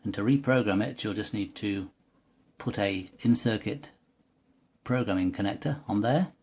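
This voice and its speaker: A man with a fairly low voice talks through a technical tutorial. He is unscripted and methodical, taking the listener through the product one step at a time as an explainer would. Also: normal speed, a little mellow. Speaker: product demonstrator.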